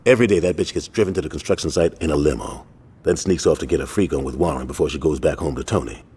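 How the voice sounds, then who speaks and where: A man playing a grave, blunt gang leader in a video game cutscene, giving orders in a rough, husky voice. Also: rumbling low, fast, a little mellow, exaggerated voice. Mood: grim, instructing. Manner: blunt, authoritative.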